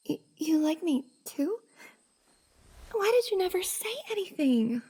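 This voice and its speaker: A woman playing a shy, flustered young cosplayer in an intimate roleplay ASMR drama, hesitant and breathy, often sighing and dropping to a whisper. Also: very high voice, expressive, slow pace, a little mellow, full character voice. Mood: surprised, tender.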